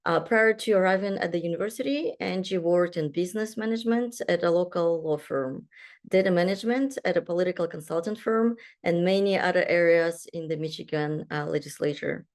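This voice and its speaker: A young woman from Kazakhstan leads a virtual panel over a video call, her voice sitting a little low for a woman. She talks in a halting way, with plenty of ums and restarts between her points. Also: a little mellow. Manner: halting, many fillers and restarts. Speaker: panel moderator. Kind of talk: moderating an online panel.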